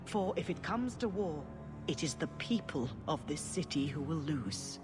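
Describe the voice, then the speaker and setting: Grave elderly priestess in a reverberant hall. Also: female voice, low-pitched, expressive. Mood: compassionate, grave. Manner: measured, solemn, formal. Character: elderly, gentle, grave female head cleric. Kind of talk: dramatic game dialogue. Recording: long church-like reverb, music behind.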